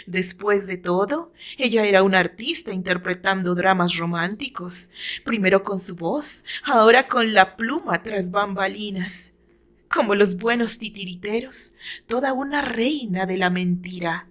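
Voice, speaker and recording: A woman with a Mexican accent reading her own novel as a dramatic, deliberate, theatrical story narration. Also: mid-range voice, fairly bright tone.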